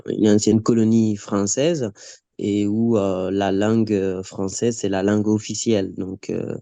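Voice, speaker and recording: A writer and playwright from Guinea, a native Pular speaker, speaking on a live panel in a hesitant way, with many repeated words and restarts. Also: male voice, lowish voice, steady tone, unhurried, creaky, warm tone, no echo. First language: Pular (Fula).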